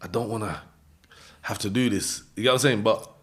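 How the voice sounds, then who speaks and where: A man with a London accent telling a story in an interview, in animated street slang, acting out voices and laughing often. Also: on the low side, a little fast. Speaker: interview guest.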